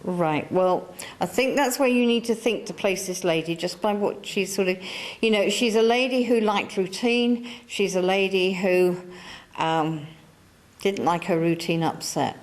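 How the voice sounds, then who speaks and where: A woman's voice: medium pitch, measured pace. A woman working as a medium, talking to a church audience in a chatty, coaxing way that circles back and repeats itself. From England. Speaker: spiritualist medium. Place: church hall.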